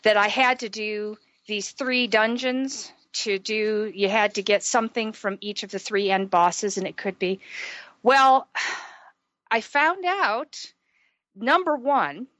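A woman co-hosting a casual gaming podcast, slightly hoarse from a sore throat, chatty and self-deprecating, explaining at length. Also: medium pitch, takes it slowly, fairly bright tone, dry recording, lo-fi sound. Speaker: podcast co-host.

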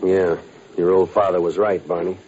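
A man acting a tough, weary jazzman in an American radio crime drama, talking in a clipped, deadpan way. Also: low-pitched, moderate pace, close to an everyday voice. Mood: grim, terse. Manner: clipped, deadpan, hard-boiled. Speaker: lead actor and narrator of a radio drama. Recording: noisy, muffled old radio recording.

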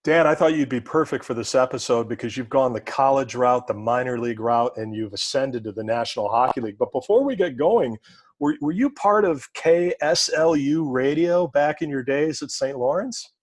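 A man's voice: mid-range voice, normal speed, no room sound. A man hosting a podcast, speaking in emphatic, motivational phrases as he presents and asks questions. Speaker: podcast host. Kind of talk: podcast monologue and interviewing.

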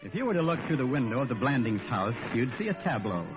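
A man's voice: mid-range voice, sing-song, quick pace. A man announcing and narrating a scripted 1950s radio comedy. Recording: tinny old radio, loud music behind.

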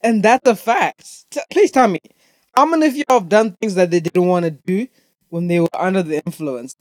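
A man's voice: high voice, moderate pace, dry recording. This is a young man from Zimbabwe hosting his own podcast. He talks in a casual, opinionated rant full of slang and swearing, and he drives points home by repeating them. The sound is dry, with very little room around his voice. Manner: emphatic, repetitive, heavy swearing. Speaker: podcast host.

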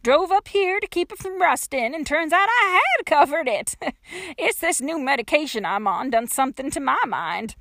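A woman's voice: sits high, expressive, moderate pace, a bit bright, no room sound, clean studio sound, pitched above the performer's own voice. A woman voicing an eccentric, argumentative woman in her sixties, a rambling gravedigger with folksy, ungrammatical speech in an audiobook. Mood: rambling, matter-of-fact.

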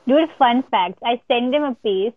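A woman's voice: a little high, normal speed, no room sound. A woman with a stammer in casual conversation, talking earnestly with many fillers. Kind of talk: casual chat. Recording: dull dry lo-fi sound.

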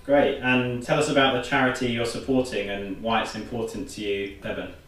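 A man's voice: medium pitch, steady tone, fairly quick, a bit bright. A man working as a podcast interviewer, asking questions in a casual group chat. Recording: echoey room, distant from mic.

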